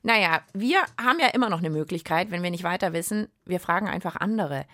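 Eine Frau mit süddeutscher Färbung, die als Moderatorin eines Kinderpodcasts anschaulich plaudert und erklärt. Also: female voice, lowish voice, expressive, quick pace, fairly bright tone, dry recording, clean studio sound. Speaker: host of children's science podcast. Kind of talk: lively explanatory chat.

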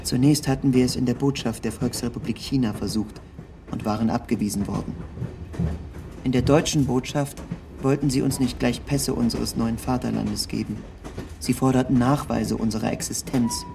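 A man reading a scripted literary narration in the first person, with measured, precise and formal diction. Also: medium pitch, fairly even intonation, vocal fry, background noise.

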